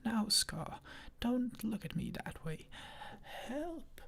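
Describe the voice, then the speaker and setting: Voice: medium pitch, very animated, takes it slowly, a bit bright, pitched below the performer's own voice, full character voice. Fussy pompous bird whispered by a reader. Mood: prim, indignant. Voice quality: breathy whisper. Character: fussy male hornbill majordomo, pompous.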